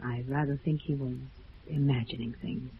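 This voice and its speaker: Tense tired school principal lady, husky, clipped n polite, tinny old radio show. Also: rumbling low, steady tone, moderate pace, exaggerated voice. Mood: curt, tense, weary. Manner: clipped, guarded, formally polite. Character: tense, evasive, weary small-town school principal, Miss Hawkins. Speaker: actress in a radio drama. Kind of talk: 1950s radio drama acting.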